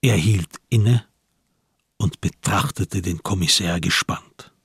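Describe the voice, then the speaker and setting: A man narrating a radio drama from a script in a measured, grave manner with sparse phrasing. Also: deep voice, a bit bright, breathy edge, no room sound, clean studio sound.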